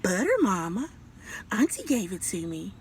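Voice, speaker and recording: A woman's voice: mid-range voice, sing-song, moderate pace, a little mellow, slightly rough, voice pushed down, heavily acted. A woman voicing a meek, obedient little boy possum in a storybook, answering plainly and politely.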